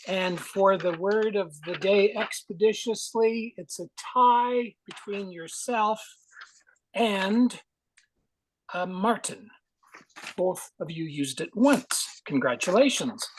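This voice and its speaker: A man's voice: high-pitched, slow, a little hiss or hum, rough recording. A man, giving a musing, digressive grammarian's report at a speaking club. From Canada. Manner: musing, digressive, friendly explainer.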